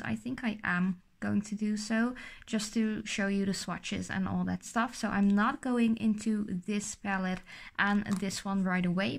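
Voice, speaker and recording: A woman's voice: medium pitch, vocal fry. A young adult woman whose first language is Dutch, chatting casually through a makeup unboxing with a sore throat and a rambling, filler-heavy manner. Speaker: beauty vlogger.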